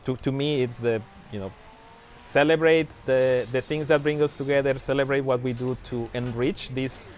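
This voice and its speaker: A man chats casually on a game livestream, often restarting his sentences and trailing off. His voice sits at an everyday middle pitch, and faint music plays behind him. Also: steady tone, normal speed, a little mellow. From Mexico. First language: Spanish. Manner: hesitant, many restarts and fillers. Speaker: livestream guest.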